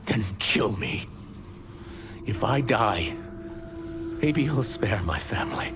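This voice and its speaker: A man's voice: on the low side, lively intonation, slow, music in the background. An actor playing a guilt-ridden, desperate male reptilian warrior in a video game, speaking in an earnest, anguished way. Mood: despairing. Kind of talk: video game cutscene acting.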